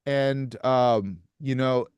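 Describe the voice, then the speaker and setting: A man in his thirties, a musician guest in a casual interview, soft-spoken and halting, with frequent restarts and small chuckles. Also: mid-range voice, smooth, no echo. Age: 30s.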